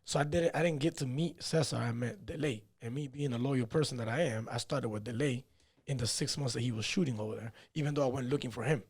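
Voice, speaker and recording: A man's voice: medium pitch, fast talker, darkish tone, breathy edge. A man in his thirties, born in Peru, telling his life story in a loose, rambling way on a podcast, dropping "you know" and "man" often. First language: Spanish. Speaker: podcast guest, fighter. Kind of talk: casual storytelling interview.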